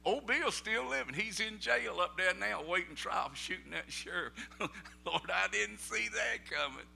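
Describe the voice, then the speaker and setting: A middle-aged Southern preacher man with a high, piercing voice. He spins folksy stories in down-home talk, then climbs into fervent shouted preaching. His tone stays sharp and bright throughout. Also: normal speed, creaky, a little hiss or hum. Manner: folksy storytelling, rising to shouted preaching peaks; Southern vernacular, "ain't no". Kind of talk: sermon.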